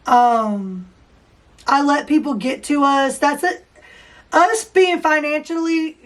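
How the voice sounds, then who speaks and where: A hoarse woman hosting a casual podcast, rambling with fillers and restarts. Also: high voice. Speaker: podcast host. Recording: voice distant from the mic.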